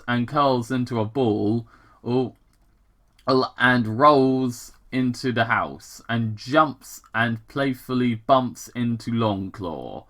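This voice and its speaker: A host reading fan fiction aloud in a casual dramatic style, halting and excitable, breaking off into rambling, self-deprecating commentary. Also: mid-range voice.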